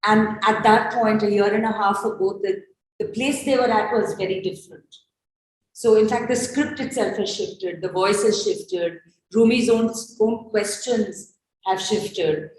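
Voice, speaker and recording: A woman hosting an arts discussion, speaking off the cuff in halting, thoughtful phrases. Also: mid-range voice. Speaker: host of a post-performance discussion. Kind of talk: unscripted spoken reflection at an arts event. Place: reverberant indoor event space. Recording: very echoey room.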